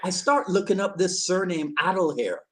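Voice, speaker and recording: A man from Barbados, hosting a casual live-stream chat, jokey and quick to laugh, often repeating words as he goes. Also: high-pitched, animated, fairly bright tone, slight background noise. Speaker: podcast host.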